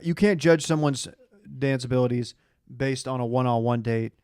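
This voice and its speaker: An American man with a clear, smooth voice at a middling pitch chats as a podcast co-host. He banters casually and teases as he goes. The sound is dry, with no room echo. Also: moderate pace, a little mellow. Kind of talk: casual bantering recap chat.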